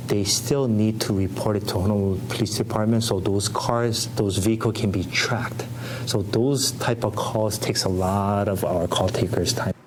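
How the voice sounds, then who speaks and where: A man giving an unscripted interview as an official, explaining plainly in halting, loosely built sentences. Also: fairly low pitch, fairly even intonation, slight background noise, poor audio. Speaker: 911 call center official being interviewed.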